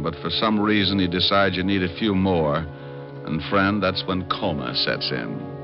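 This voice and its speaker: An actor with an American accent playing a suave, wisecracking private detective in a 1950s radio drama. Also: male voice, low-pitched. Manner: wry, cool, quick-witted. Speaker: lead actor and narrator. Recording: dull old radio recording.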